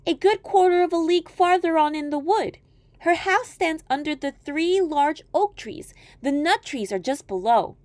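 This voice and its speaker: Innocent storybook child, played by a woman: girlish high pitch, earnest, well-mannered, trusting, animated melody. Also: normal speed, dry recording. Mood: polite, trusting. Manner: sweet, polite, earnest. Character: polite, innocent, trusting little girl.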